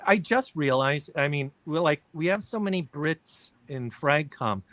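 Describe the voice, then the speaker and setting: Halting, curious man in group chat. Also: on the high side, expressive, a bit bright, dry recording. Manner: curious, asks lots of questions, halting with ums.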